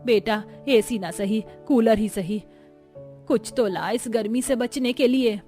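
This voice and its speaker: A woman's voice: fairly high pitch, takes it slowly, dark tone, soft background music. A woman voicing a middle-aged mother-in-law in a cartoon family drama, emphatic and matronly. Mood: resigned. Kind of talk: Hindi cartoon story voice acting.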